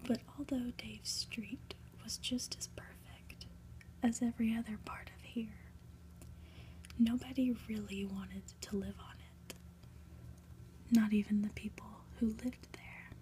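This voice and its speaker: A woman softly whispering a story aloud in an ASMR style, deliberate and lingering on phrases with pauses. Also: on the high side, steady tone. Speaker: ASMR reader, channel host.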